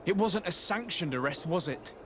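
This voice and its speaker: A man's voice: sits high, animated, normal speed, noisy background. A man voicing a composed, diplomatic emissary in a video game, polite, formal and probing.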